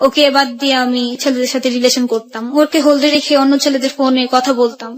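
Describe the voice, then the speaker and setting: A young Bangladeshi woman with a high voice tells her personal story as a radio guest. She talks quickly in colloquial, run-on sentences, one piece flowing into the next. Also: fairly even intonation. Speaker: guest telling own life story.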